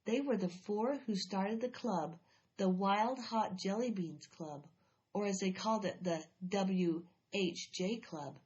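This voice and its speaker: A woman reads a children's chapter book aloud with expressive, animated storytelling. Her voice sits in a middle pitch. The recording sounds slightly dull and lo-fi. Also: takes it slowly.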